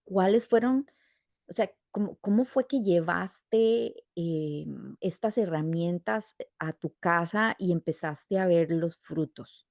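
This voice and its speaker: A woman's voice: fairly low pitch, slow pace, gravelly, a little mellow, dry recording, clean studio sound. A woman hosting an interview podcast, explaining at length and adding rhetorical questions as she goes. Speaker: podcast host. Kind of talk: warm interview chat.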